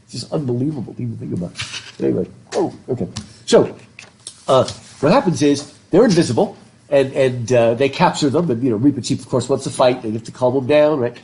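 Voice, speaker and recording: A middle-aged man lectures a classroom in front of a blackboard, his mellow voice thick from a stuffed up nose. He jokes, laughs and asks the students questions as he goes. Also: mid-range voice, fairly quick, a little hiss or hum. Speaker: professor. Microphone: laptop webcam.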